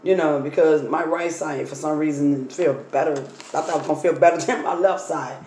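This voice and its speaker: Woman, 30s, slightly hoarse, casual chatty vlogger talking through her meal; phone on the table, echoey room, faint hiss. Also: sits low, fairly even intonation, moderate pace, darkish tone. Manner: chatty, talks while unpacking and eating food. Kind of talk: casual mukbang storytime chat. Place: dining table in a home room. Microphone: phone camera on the table.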